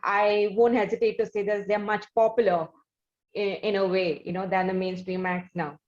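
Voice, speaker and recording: Female host, Indian accent, deliberate halting questions, conversational, lo-fi room sound. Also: mid-range voice, little pitch movement, darkish tone. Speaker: interviewer, show host. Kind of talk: conversational music interview. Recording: roomy, dull lo-fi sound.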